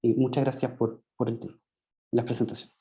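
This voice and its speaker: A man's voice: medium pitch, little pitch movement, brisk, dark tone. A man with a Chilean Spanish accent giving academic questions at an online conference, hesitant, with many fillers and restarts. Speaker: conference discussant. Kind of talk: academic Q&A comments. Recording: dull lo-fi video call audio.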